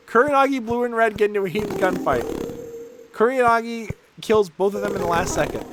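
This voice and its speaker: A man's voice: high-pitched, noisy background. A man streaming a video game, reading its text aloud and reacting live in an irreverent, sweary, amused way.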